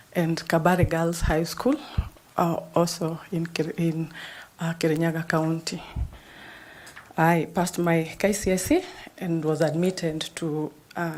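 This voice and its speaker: A woman in her fifties speaks with a Kenyan accent from the Mount Kenya region, her voice sitting notably low. She introduces herself to a selection panel in a composed, formal way, speaking into a table microphone in an interview room. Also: a little mellow. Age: 50s. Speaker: job candidate at a panel interview.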